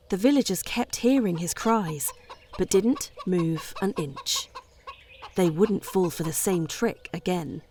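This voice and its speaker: Expressive woman storyteller with lively intonation. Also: fairly low pitch, vocal fry. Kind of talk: scripted children's bedtime story narration.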